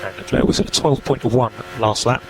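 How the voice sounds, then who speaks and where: A man's voice: medium pitch, expressive, normal speed, vocal fry. A man working as a race engineer, giving terse, matter-of-fact technical instructions and numbers over team radio. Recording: noisy team radio, engine and car noise.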